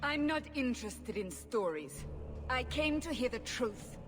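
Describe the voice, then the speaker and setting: A woman's voice: a little high, big pitch swings, a little slow, music in the background. A woman playing a stern, impatient interrogator in a video game, blunt and commanding. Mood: angry. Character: stern, impatient female interrogator, forceful and demanding. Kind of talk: video game cutscene acting.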